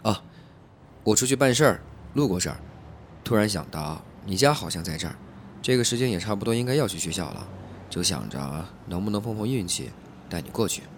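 A man voicing a reserved, gently attentive young student in a campus audio drama. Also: fairly low pitch, expressive, a little fast, slight background noise. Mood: gentle, hesitant.

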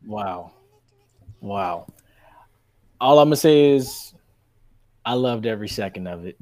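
A man hosting a sports podcast in casual, animated debate, making his points emphatically and repeating phrases for effect. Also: lowish voice, measured pace, no echo.

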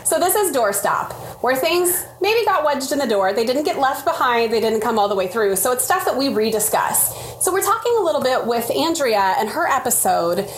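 A woman's voice: high-pitched, very animated, normal speed, hardly any pauses. A woman hosting a casual interview, introducing the discussion and prompting her guest in a chatty way. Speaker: host. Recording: faint background noise and ambience.

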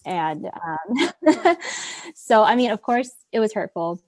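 A woman's voice: medium pitch, sing-song, takes it slowly, darkish tone, no echo. A young woman from Minneapolis candidly recounting what classmates said about her. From Minneapolis, USA. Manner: candid, recounts quoted remarks. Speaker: interviewee. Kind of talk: personal account.

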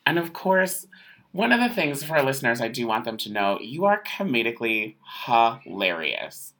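A woman hosting a podcast interview, gushing with excitement like an eager fan. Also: a bass voice, normal speed. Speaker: podcast host. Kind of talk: casual interview.

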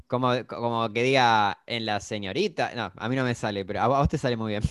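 A man's voice: mid-range voice, fairly quick, a bit bright, smooth, no echo, clean studio sound. An Argentine man, chatting casually as a podcast co-host and retelling cartoon scenes in detail. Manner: storytelling, recounting scenes. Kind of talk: casual chat.

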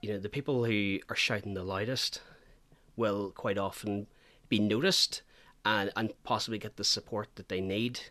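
Bright, dry-sounding man. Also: on the low side, fairly even intonation, normal speed, no room sound. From Northern Ireland. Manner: earnest, hesitant with fillers. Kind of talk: conversational interview.

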